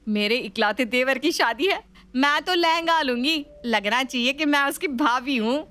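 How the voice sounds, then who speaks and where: High-pitched, excitable young daughter-in-law, played by a woman. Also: moderate pace, no room sound. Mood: excited.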